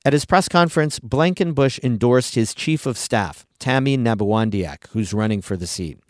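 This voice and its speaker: A man's voice: medium pitch, darkish tone, no echo, studio quality. A man hosting a news podcast, reading scripted stories in a conversational public radio style.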